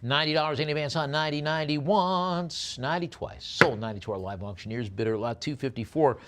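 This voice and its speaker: A man's voice: on the high side, fairly bright tone. A man working as an auctioneer at a live sale, moving between repetitive bid chanting and descriptions of the lots. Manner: rapid repeated bid chant alternating with unhurried item descriptions.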